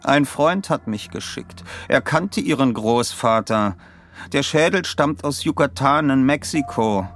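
Audio drama role: calmly insistent young man acted by a male reader, somewhat high, measured and courteous. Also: faint music behind. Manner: polite, insistent, murmuring. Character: calm, insistent long-haired young man seeking a treasure.

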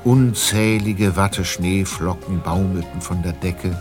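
Man, scripted story narration, fairly low pitch, music bed. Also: steady tone, a little slow. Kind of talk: scripted audio drama narration.

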